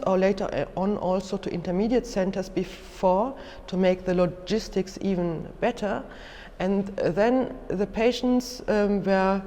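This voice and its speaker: Woman lecturer, German accent, slow halting delivery, careful explanations, long hall reverb. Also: fairly low pitch, mellow. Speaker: museum lecturer. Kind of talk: educational lecture, unscripted explanation.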